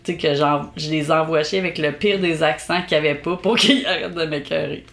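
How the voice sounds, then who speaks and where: A young woman with a Québécois accent from Bellechasse, co-hosting a casual podcast chat, laughing often and bantering quickly into a close mic. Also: sits low, steady tone, creaky, fairly bright tone. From Quebec. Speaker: podcast co-host.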